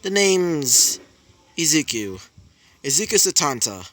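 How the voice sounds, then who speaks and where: A hesitant, polite young hero, as a man voices him in his own story. The voice sits slightly high with a bright tone. He speaks slowly and unsurely. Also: big pitch swings, full character voice.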